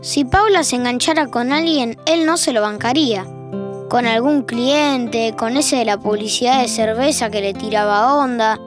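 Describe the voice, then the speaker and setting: Woman narrating over guitar music. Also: fairly high pitch. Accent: Rioplatense Spanish. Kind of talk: scripted drama narration.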